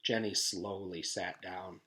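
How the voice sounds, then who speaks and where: A man's voice: low voice, steady tone, takes it slowly, pushed and bright. Male teacher reading a storybook aloud. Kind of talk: storybook narration with informal introduction.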